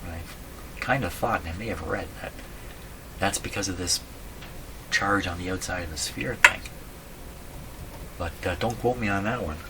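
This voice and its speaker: Low-voiced man musing over noisy audio. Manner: halting, musing, talks to himself with questions. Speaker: radio repair hobbyist, host. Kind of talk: casual unscripted workbench explanation, thinking aloud. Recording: clearly noisy room recording.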